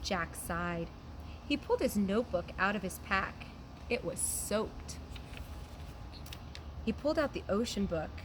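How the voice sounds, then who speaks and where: A woman reads a children's storybook aloud like a teacher addressing young listeners, in an animated manner. Her pitch is average, and she is outdoors with some noise around her. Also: a little slow, pauses often. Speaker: teacher reading aloud to pupils. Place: outdoors on a trampoline. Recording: background noise, outdoor ambience.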